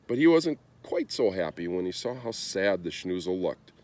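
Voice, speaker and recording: A man reading a children's story aloud in an expressive storytelling style. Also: low-pitched, normal speed. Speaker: storybook reader.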